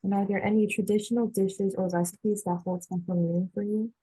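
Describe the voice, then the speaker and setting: A woman's voice: medium pitch, steady tone, a little fast, mellow, husky, rough recording. A woman interviewing a guest over a video call, asking questions politely and hesitantly. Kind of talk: oral history interview.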